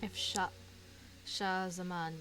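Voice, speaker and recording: A woman's voice: fairly low pitch, steady tone, slow pace, a bit bright, soft background music. A woman streamer giving casual, informal reaction commentary while playing a video game, often in short asides.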